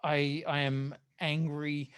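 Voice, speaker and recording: A podcast host chatting casually in a panel review, with a halting, wry, sweary way of talking. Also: medium pitch, little pitch movement, smooth.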